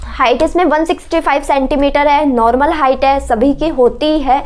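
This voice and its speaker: A woman's voice: sits high, steady tone, moderate pace. A woman explains things to exam candidates in an instructive, reassuring way, repeating points and checking they are understood. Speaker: exam coaching presenter.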